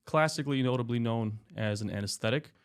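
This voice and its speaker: A man in his thirties, a doctor and podcast guest, explaining his work in a relaxed, chatty way with many fillers. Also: medium pitch, fast, clean tone. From Spain, raised in California. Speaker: anesthesiologist guest. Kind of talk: casual interview talk.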